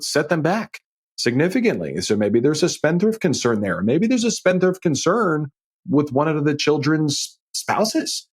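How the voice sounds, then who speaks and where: A man's voice: on the high side, slightly rough. A middle-aged man hosting a financial advice podcast solo, talking conversationally in an emphatic, folksy way with frequent rhetorical check-ins. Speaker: podcast host and financial planner.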